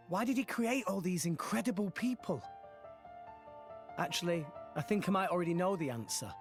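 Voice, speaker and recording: Earnest high-voiced teenage boy hero. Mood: earnest, hopeful. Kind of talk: dubbed video game cutscene acting.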